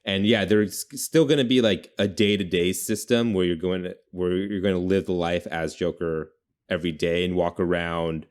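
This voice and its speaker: A man's voice: deep voice, fairly even intonation, moderate pace. A man hosting a casual brainstorming chat, informal and often correcting himself as he thinks aloud. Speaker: podcast host pitching game ideas.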